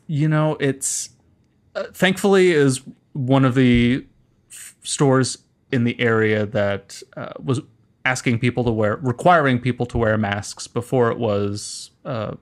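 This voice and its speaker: Middle-aged man, American accent, casual interview guest, hesitant phrasing, wry delivery, mid-pitched voice. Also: measured pace. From California, United States. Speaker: interview guest, musician and performer.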